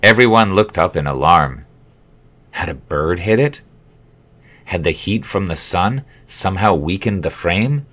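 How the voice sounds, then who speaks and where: Guy with a deep voice reading his own memoir out loud, wry and measured. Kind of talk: audiobook narration, scripted storytelling.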